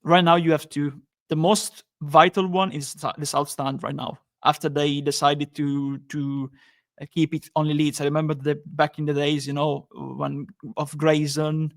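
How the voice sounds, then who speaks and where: Flat-toned man hosting a podcast. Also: on the high side. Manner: halting, many restarts, upbeat. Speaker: podcast host. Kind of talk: casual football podcast chat.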